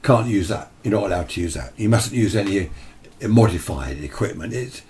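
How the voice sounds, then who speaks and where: A man's voice: lowish voice, lively intonation, normal speed, a little mellow, a little hiss or hum. A British man giving an informal, opinionated explainer in a conversational way, as a radio hobby presenter. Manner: opinionated, conversational, mimics imagined replies. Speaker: amateur radio video presenter.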